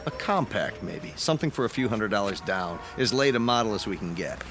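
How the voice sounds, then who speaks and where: Radio drama: young husband male actor, tentative, courteous, expressive pitch, unassuming. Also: brisk, over music, natural voice. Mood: tentative, polite. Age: young adult. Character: hesitant, polite young husband shopping for a car.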